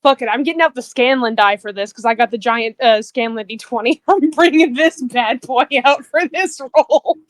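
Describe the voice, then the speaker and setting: A woman's voice: a little high, moderate pace, few pauses, no echo, studio quality. A woman chatting casually as a player in a tabletop roleplaying game.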